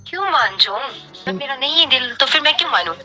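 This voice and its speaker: A young Pakistani-accented woman speaks in a high, very sharp and bright voice. She is curt and dismissive on a phone call, with music playing underneath. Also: lively intonation, quick pace. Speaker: teacher receiving a prank call. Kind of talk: phone conversation.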